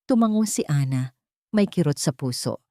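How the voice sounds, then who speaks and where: A woman's voice: deep voice, lively intonation, moderate pace, warm tone, no echo, clean studio sound. A woman reading a scripted story as narrator, with an earnest, dramatic delivery.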